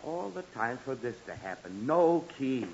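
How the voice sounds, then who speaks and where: A man's voice: medium pitch, sing-song, moderate pace, darkish tone. A man with an American accent playing an exasperated, sarcastic middle-aged suburban father in an old-time radio comedy. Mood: exasperated, grumbling. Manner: grumbling, sputtering, sarcastic. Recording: muffled, hissy old radio recording.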